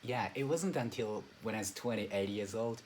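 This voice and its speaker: Man chatting in halting English. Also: fairly low pitch, darkish tone, a little hiss or hum. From Japan. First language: Japanese. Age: 30s. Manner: hesitant, many filler words. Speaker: English learner. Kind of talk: casual online lesson chat. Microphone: video call.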